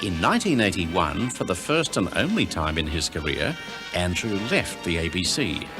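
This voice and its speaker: Low, lively Australian male narrator over music. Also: moderate pace. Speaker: documentary narrator. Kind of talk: scripted tribute narration.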